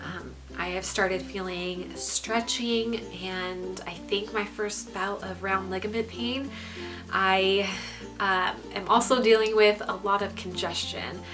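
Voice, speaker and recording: A young woman with a stuffy, congested voice giving a candid pregnancy update, pausing often with ums. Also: mid-range voice, over music. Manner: frequent ums, relaxed and candid. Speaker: pregnancy vlogger. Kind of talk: casual vlog update.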